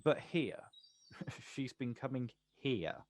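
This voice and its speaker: A man's voice: mid-range voice, lively intonation, takes it slowly, dry recording. An actor plays a wry, kind but guarded male poet in an intimate stage drama. His mid-range voice is questioning and hesitant, stumbling over first words when unsure. The sound is dry, with little room. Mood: amused, gentle. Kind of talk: stage play acting, quiet two-hander.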